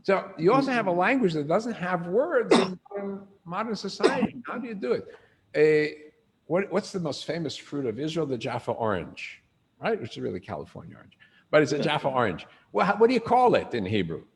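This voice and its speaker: Older male lecturer, gravelly, conversational teacher who quizzes the room. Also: a little high, brisk. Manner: animated storyteller, asks the room questions. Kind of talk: informal lecture to a group.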